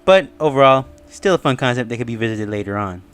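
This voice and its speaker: A man's voice: medium pitch, animated, quick pace, a bit bright, smooth, soft background music. A man hosting an online review, musing conversationally through his opinions and theories with frequent asides. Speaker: online video reviewer and host. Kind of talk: casual review and theory commentary.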